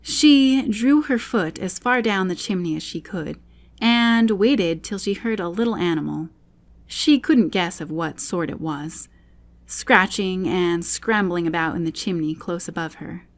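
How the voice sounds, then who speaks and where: A woman narrating a children's classic as an audiobook reader, with expressive storybook delivery. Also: lowish voice, a little slow.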